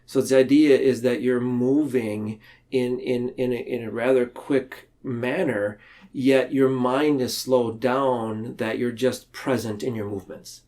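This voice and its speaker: A man talking reflectively and explaining ideas in a podcast discussion, haltingly, often circling back to rephrase. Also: mid-range voice, fairly even intonation, warm tone.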